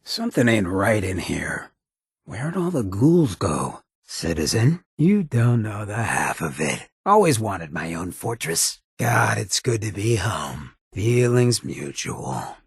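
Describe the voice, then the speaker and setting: Swaggering outlaw drifter, video game companion, heavily rasping gravel voice, animated intonation, sardonic slangy drawl, irreverent. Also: male voice, lowish voice, slow, no room sound, studio quality, exaggerated voice. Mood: playful, sardonic. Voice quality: raspy, gravelly. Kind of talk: scripted game dialogue, wry banter.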